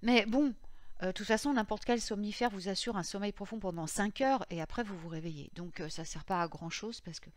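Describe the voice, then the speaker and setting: A slightly hoarse woman in her thirties hosting a casual witchcraft podcast, rambling with many fillers and restarts. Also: medium pitch, brisk. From France.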